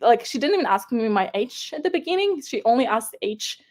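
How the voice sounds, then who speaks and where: A young woman with a Ukrainian accent, her voice sitting a little high. She talks in a loose, animated way, full of fillers, restarts and quick laughs. She is a guest recounting her own story in an interview.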